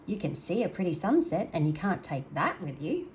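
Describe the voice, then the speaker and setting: A woman's voice: on the low side, very animated, normal speed, a little mellow, slight background noise. A woman voicing a small, earnest male seal from a storybook, timid but brave, with a pleading manner. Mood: pleading, reasoning.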